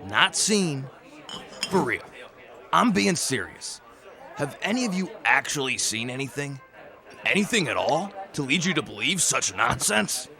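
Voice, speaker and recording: A man's voice: medium pitch, very animated, unhurried, sharp tone, full character voice. An actor playing a blunt, mocking skeptical man in an audio drama. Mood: insistent. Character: skeptical scoffing man doubting monster tales. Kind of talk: amateur audio drama acting.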